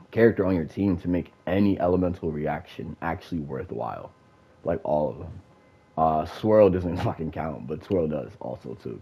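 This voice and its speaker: Low husky guy, stuffy nose, cussing and rambling, kinda muffled audio. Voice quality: nasal, congested. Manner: profane, rambling, with many fillers. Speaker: gamer giving opinions. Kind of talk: casual rant.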